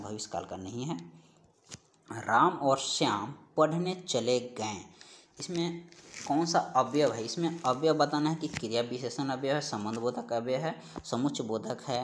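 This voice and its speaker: A male teacher with a medium-pitched voice takes learners through exam questions in a didactic way. He reads each item aloud, repeats it, and stretches out the key phrases before giving the answer. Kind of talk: Hindi grammar exam-prep lesson, reading out questions and answers.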